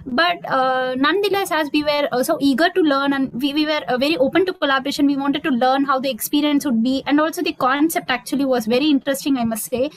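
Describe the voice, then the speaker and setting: A woman's voice: sits high, fast talker, few pauses, low-quality recording. A woman student answering on a video call panel, formal and hesitant with many fillers.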